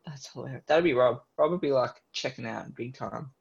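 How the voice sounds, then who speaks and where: A woman's voice: a bass voice, fairly quick, creaky, slightly rough, highs cut off. A woman co-hosting a podcast, chatting casually with a joking, teasing manner. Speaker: podcast co-host. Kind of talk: casual chat.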